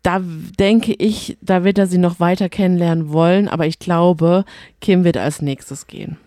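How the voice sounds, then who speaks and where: A woman co-hosts a casual recap show in a relaxed, slightly low voice. She is chatty and opinionated, slipping quotes into her retelling. The sound is dry and close. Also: normal speed, no echo. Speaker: podcast co-host. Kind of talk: casual chat recapping reality TV.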